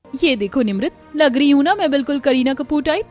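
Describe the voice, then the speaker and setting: A woman's voice: sits high, animated, brisk, darkish tone, faint music behind, voice pushed up. A woman voicing a haughty, boastful rich young bride-to-be in a cartoon, talking in a showy, condescending way.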